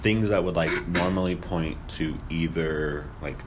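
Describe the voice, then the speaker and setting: A young man with a remarkably deep voice talks casually on a podcast. He questions things skeptically, and clear noise runs behind his voice. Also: a little slow. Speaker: podcast co-host. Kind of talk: casual conspiracy discussion. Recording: noisy room recording.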